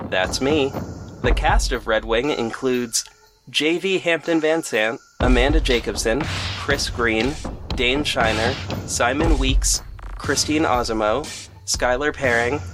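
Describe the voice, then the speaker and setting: A man who writes, directs and produces the show, reading its closing credits in a plain, friendly way. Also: mid-range voice. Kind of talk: credits read for a podcast. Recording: clear music bed.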